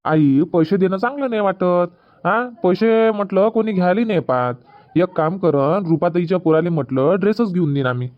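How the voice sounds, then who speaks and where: A man's voice: high-pitched, lively intonation, fairly quick, dry recording, lo-fi sound. A man voicing a young husband in a comedy cartoon, explaining plans at length with a Varhadi Marathi accent. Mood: reassuring. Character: young husband Suraj, easygoing son.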